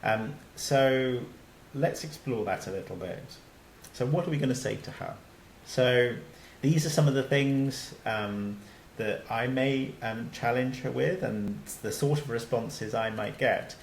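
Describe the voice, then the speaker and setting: A man's voice: medium pitch, measured pace, gravelly, reverberant, a little hiss or hum, poor audio. A man, a doctor, giving a recorded slide lecture in a conversational way, with many fillers and restarts.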